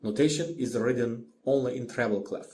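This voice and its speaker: A man's voice: medium pitch, moderate pace, darkish tone, slight background noise. A man with an Azerbaijani accent narrates a scripted lecture as a teacher, methodically, in careful short phrases. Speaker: orchestration teacher.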